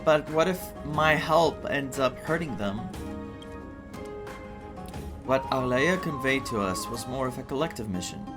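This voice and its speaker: Guy with streaming a game, casual reactions, medium pitch, music in the back. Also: animated, measured pace, pauses often. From Greece. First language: Greek. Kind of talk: casual gameplay reaction and reading aloud.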